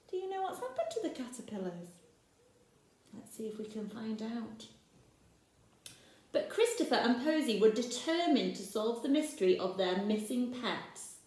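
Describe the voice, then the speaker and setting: Teacher reading to young children: unhurried, animated, a little high, in an echoey room. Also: female voice. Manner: expressive, slow, pointing out pictures and asking the listener questions. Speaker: teacher reading a picture book. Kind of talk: reading a picture book aloud to children.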